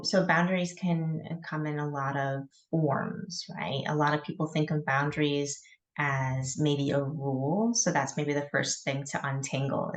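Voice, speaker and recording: A middle-aged woman from Michigan, a coach and podcast guest, talking thoughtfully with many pauses and fillers. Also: low-pitched. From Michigan, USA. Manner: thoughtful, hesitant, with fillers and light laughs. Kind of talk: podcast interview.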